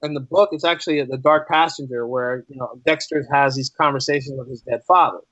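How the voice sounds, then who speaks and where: A man chatting casually as a podcast guest, restating his phrases for emphasis in an animated way. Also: mid-range voice, a little fast, no room sound, lo-fi sound. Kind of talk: casual interview chat.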